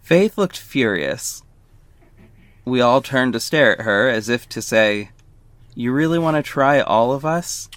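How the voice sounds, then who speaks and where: A man's voice: mid-range voice, animated, unhurried, fairly bright tone, dry recording. Man as a wry confiding narrator, close and dry. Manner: confiding, snarky asides. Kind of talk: first-person scripted narration in audio drama.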